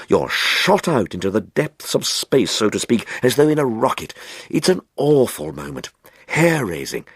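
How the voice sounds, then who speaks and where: A man's voice: mid-range voice, slightly rough, no echo, clean studio sound, voice pushed up. Rapturous amateur stargazer, acted by a male reader, swooping expressively. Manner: rapturous, emphatic, lingering on words. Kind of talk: audiobook character dialogue.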